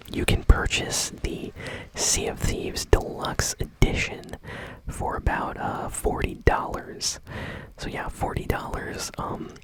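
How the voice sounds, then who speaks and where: A woman hosting ASMR gaming news in a soft whisper, speaking casually and hesitantly, as if unscripted. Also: rough recording.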